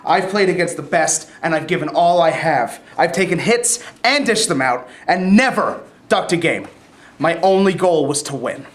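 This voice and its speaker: A man's voice: on the high side, lively intonation, normal speed, natural voice. A man playing a weary veteran football player, plain-spoken, evasive and defensive, in a staged reading in an echoey room. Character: weary, guarded veteran football player announcing his retirement.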